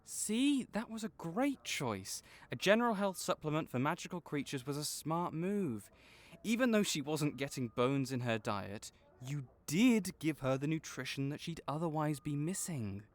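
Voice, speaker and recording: A man playing a kind, patient veterinarian for magical creatures in a comforting audio roleplay, explaining things gently and encouragingly. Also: on the high side, very animated, normal speed, few pauses. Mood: upbeat, approving. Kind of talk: comforting audio roleplay, one-sided conversation.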